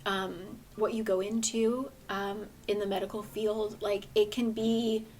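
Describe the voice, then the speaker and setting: A woman with a somewhat hoarse voice, chatting earnestly about books in a casual vlog, full of rambling asides. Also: mid-range voice, flat delivery, a little slow, darkish tone, slight background noise, low-quality recording. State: hoarse, voice gone a bit. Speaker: book vlogger. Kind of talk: casual book review chat.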